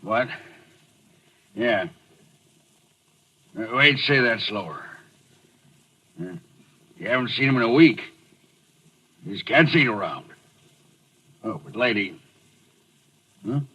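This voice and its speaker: An actor playing a rough-spoken, weary police desk captain in a 1940s radio thriller, in slangy, clipped talk. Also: male voice, mid-range voice, bright, forceful tone, heavily acted, poor audio. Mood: grudging.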